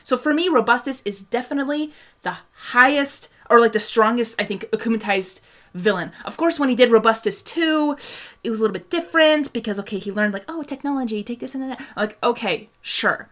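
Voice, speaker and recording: A woman's voice: on the high side, lively intonation, a little fast, fairly bright tone. A young woman from Hungary hosting a casual fan discussion, chatting through viewer questions with lots of laughs, fillers and restarts. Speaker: host answering viewer questions.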